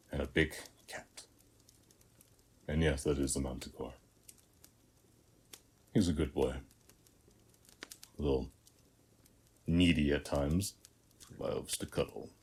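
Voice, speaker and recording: A man playing a weary, battle-hardened warrior of Ares in a scripted audio roleplay, speaking in measured, reflective short phrases. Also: exaggerated voice. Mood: earnest, defiant, then fond, soft. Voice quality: very deep, husky, breathy.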